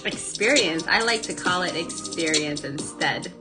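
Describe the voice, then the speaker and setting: A young woman, raspy from a cold, hosting a live stream and effusively greeting her viewers by name. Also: lowish voice, unhurried, music in the background. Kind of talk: live-streamed motivational talk.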